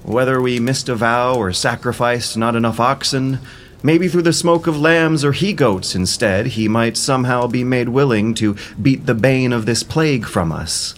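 A man's voice: mid-range voice, normal speed, a little hiss or hum, pitched above the performer's own voice, lightly acted. A man playing an earnest warrior hero addressing an army in an epic audio drama, oratorical and urgent. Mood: earnest, concerned.

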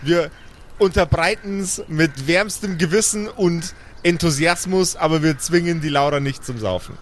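A man's voice: fairly high pitch, a little slow, a little hiss or hum. A man playing in an improvised tabletop role-play, casually describing what his character does and says.

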